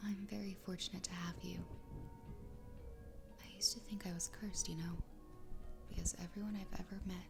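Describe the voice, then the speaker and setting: A woman voicing an obsessively loving fox girl wife in a soft, breathy, tender ASMR roleplay whisper. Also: lowish voice, little pitch movement. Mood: adoring, devoted. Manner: tender, slow, intimate. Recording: close whisper over background music. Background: music.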